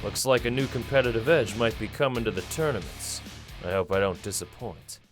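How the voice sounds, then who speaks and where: A man's voice: on the low side, brisk, soft background music, natural voice. A man playing an earnest young fighter, determined yet unsure, with dramatic delivery in a visual novel drama. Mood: determined, uneasy.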